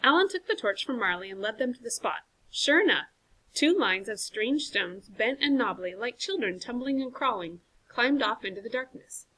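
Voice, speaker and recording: A woman teacher reading a chapter book aloud to a class, with clear, expressive narration. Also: fairly high pitch, moderate pace, low-quality recording. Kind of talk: storybook read-aloud for a class.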